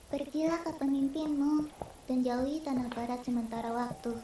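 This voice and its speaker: High, roomy woman playing an earnest spirit woman. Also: fairly even intonation, moderate pace, dark tone, slight background noise, close to an everyday voice. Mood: earnest, reassuring. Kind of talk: Indonesian comic dub acting. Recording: roomy, distant microphone.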